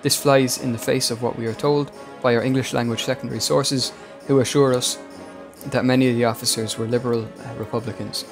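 Man narrating history over loud music. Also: mid-range voice, level tone, a little fast. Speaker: podcast host and narrator.

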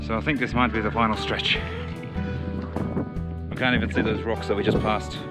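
A man's voice: lowish voice, little pitch movement, moderate pace, loud music behind the voice. A young man giving dry, jokey commentary to a handheld camera outdoors on a mountain, with wind across the mic. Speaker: travel companion. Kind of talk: casual travel vlog chat. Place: outdoors on a windy snowy mountain. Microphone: handheld action camera.

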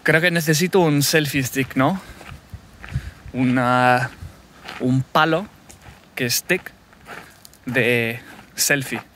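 A man in his thirties from England, his native tongue English, talking to himself in a halting, self-correcting way while walking a wooded path, filming on a phone held at arm's length. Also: mid-range voice, a bit bright, a little hiss or hum. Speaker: language learner recording a practice podcast. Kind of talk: casual spoken practice monologue. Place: wooded countryside path outdoors.

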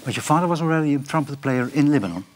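A middle-aged man hosting an interview, asking short questions and nodding along with quick backchannel. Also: a little high, animated. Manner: short prompts and frequent backchannel. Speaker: host. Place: bright room with big windows, indoors. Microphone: lapel.

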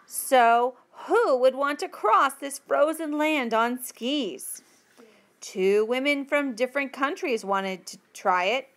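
Lady teacher reading a textbook out loud, slightly high voice, really slow and careful. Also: lively intonation. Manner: careful, deliberate phrasing. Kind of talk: slow read-aloud of a textbook passage.

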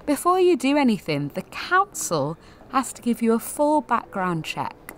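Jaded woman in her twenties, gallery attendant, sardonic, lively pitch swings, audio drama monologue, some background noise. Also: a little slow. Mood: bitter, resigned. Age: 20s. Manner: wry, sardonic, self-deprecating.

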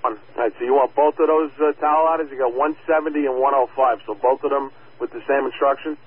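A man dispatches fire crews in clipped, procedural radio talk. His voice sits at a middle pitch. The audio is thin and phone-like, with faint noise behind it. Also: fairly even intonation, quick pace. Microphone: two-way radio system.

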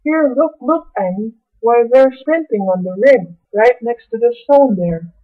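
A woman's voice: a little high, animated, unhurried, narrow, tinny audio. A woman playing an eager, know-it-all young friend in an amateur radio play, explaining things proudly. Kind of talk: old-time radio play acting by an amateur.

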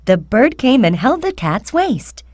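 A woman narrating a children's fairy tale, speaking carefully and deliberately as a storyteller. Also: fairly high pitch, big pitch swings, a little mellow, no echo, clean studio sound. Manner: slow, careful, deliberate enunciation.

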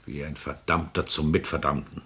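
A man's voice: rumbling low, flat delivery, normal speed, sharp tone. A man acting a haughty, scheming court president and powerful father in a 1950s German radio drama, with a weighty, imperious, theatrical delivery. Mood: uneasy, curious.

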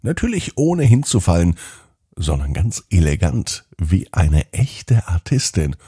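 A man telling a scripted bedtime story to children as podcast host, in an expressive storytelling manner. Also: sits low, a little slow, slightly rough, dry recording, clean studio sound. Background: music.

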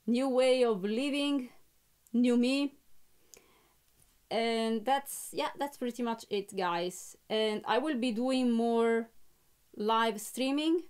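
Chatty young woman with a clear, bright voice. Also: fairly high pitch. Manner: chatty, with frequent fillers and restarts. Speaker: musician and channel host. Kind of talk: casual live-stream chat. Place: small home music studio, indoors.

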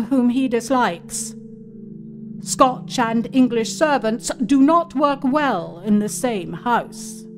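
Over soft music comes a woman acting a society lady of a past age, reciting her memoir in a slightly high voice. She is fervent and wistful about the Jacobite cause, and takes her phrases slowly. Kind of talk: dramatic reading of an old memoir.

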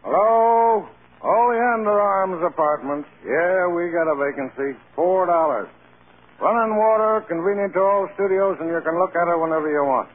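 An actor playing a curt, wisecracking apartment desk clerk in an old radio drama. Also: male voice, sits high, moderate pace, natural voice. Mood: impatient. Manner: curt, slangy, dry. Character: brusque, wisecracking male boarding-house desk clerk. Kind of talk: 1930s-40s American radio acting. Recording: tinny, noisy old radio broadcast.

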